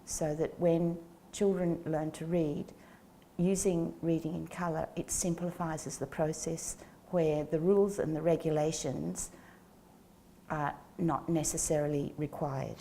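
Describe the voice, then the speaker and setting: Slow, measured woman in her sixties. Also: low voice, fairly even intonation, mellow, a little hiss or hum. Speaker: guest, educator. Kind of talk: interview answers. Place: small studio set with plants. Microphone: lapel mic.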